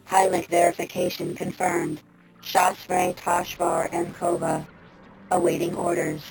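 A woman voices an impersonal ship computer in a sci-fi audio drama. It is extremely high and crackly, making clipped formal announcements over loud music. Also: very animated, a little slow, gravelly, heavily acted. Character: female machine pilot-verification voice, impersonal and dutiful.

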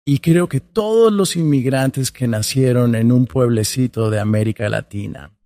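A man, telling his life story as a podcast guest in a warm, storytelling way. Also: medium pitch, normal speed, no room sound. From Colombia. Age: 40s.

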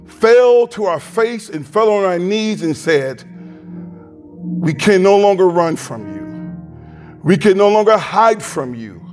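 Fervent pastor with a high, slow voice. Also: male voice. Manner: fervent, slow and deliberate, loud voice. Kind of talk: sermon with prayer and scripture reading. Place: church sanctuary. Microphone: handheld microphone.

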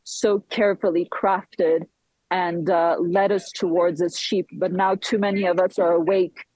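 A woman from Switzerland giving live political commentary as a guest, speaking haltingly with frequent pauses. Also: lowish voice, flat delivery, darkish tone, no room sound, low-quality recording. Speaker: guest commentator reporting from a conference town.

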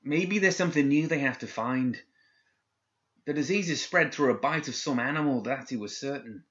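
A man's voice: medium pitch, normal speed, a bit bright, highs cut off, pitched above the performer's own voice, natural voice. A man voicing a nervous young male guard who stammers and hesitates as he reports.

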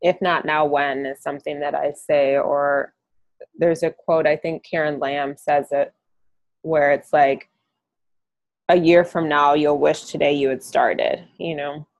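A woman hosting a podcast in a soft-spoken, reflective way, often pausing with "um". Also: deep voice, fairly even intonation, dry recording, rough recording. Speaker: podcast host. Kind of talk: casual interview chat.

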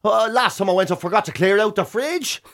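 High-voiced middle-aged Irish man. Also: brisk, no echo, clean studio sound. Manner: self-deprecating, animated acting out of imagined exchanges. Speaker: radio show co-host. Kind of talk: casual comic banter.

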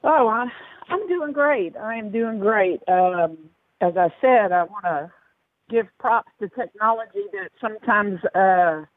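Gravel-voiced woman hosting over the phone. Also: mid-range voice, expressive, measured pace, no echo. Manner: chatty, hesitant with fillers. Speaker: radio show host. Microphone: phone line. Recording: tinny dry phone line.

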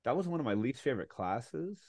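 A man's voice: medium pitch, very animated, fairly quick, darkish tone, dry recording. A man hosting a podcast, reading a guest introduction and then chatting eagerly with many fillers. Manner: chatty, enthusiastic, with frequent fillers. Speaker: podcast host. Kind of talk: casual interview chat.

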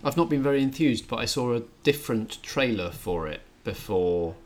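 A man's voice: medium pitch, lively intonation, normal speed, a little mellow. A man co-hosting a podcast in casual chat, speaking hesitantly with wry asides. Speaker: podcast co-host and film reviewer.

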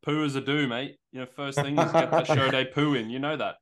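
A man's voice: medium pitch, steady tone, brisk, a bit bright. An Australian man on a podcast who jokes cheekily and then explains his view earnestly. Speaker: podcast co-host. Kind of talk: casual podcast chat.

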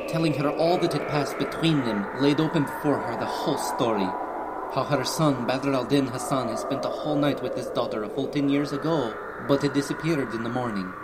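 A man's voice: medium pitch, heavy background noise. A man narrating a scripted audio drama as storyteller, in formal, archaic language.

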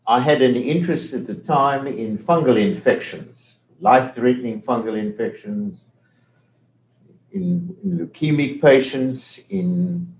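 An older man with a South African accent, a medical professor explaining things in an interview with measured, careful talk and frequent restarts. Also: medium pitch, expressive, gravelly, a little mellow, reverberant, rough recording.

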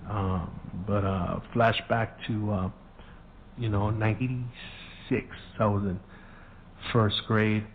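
A man's voice: on the low side, little pitch movement, slow pace, pauses often, a little hiss or hum. A middle-aged man telling stories in a casual monologue, full of profane street slang, acting out the voices of people he quotes. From Southern California. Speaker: podcast host. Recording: phone-quality audio.

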